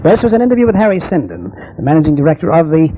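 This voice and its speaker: A man's voice: on the high side, wildly swooping pitch, very fast, slightly rough. A man hosting a radio sports interview, speaking in formal, courteous announcer phrases. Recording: dull vintage 1972 radio, faint noise.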